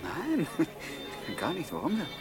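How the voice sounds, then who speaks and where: A man's voice: fairly high pitch, huge pitch swings, slow pace, exaggerated voice. An actor playing a dejected, penniless male suitor in a 1960s German radio play, speaking in a dry, hesitant, subdued way. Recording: 1960s radio recording, music behind.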